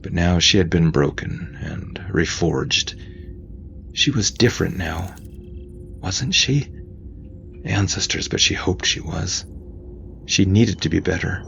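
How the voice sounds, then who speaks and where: A man reading scripted audiobook fiction in a dramatic, intense way with deliberate emphasis. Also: low-pitched, vocal fry, a bit bright, dull audio. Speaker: narrator. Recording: faint noise behind voice.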